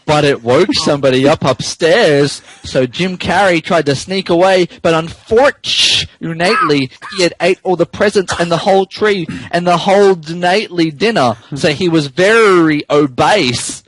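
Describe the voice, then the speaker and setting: A man's voice: a little high, measured pace, hardly any pauses, a bit bright, no room sound, low-quality recording. A man hosting a podcast, giving a playful, mock-dramatic comic reading of a story aloud. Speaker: podcast host reading fan fiction aloud.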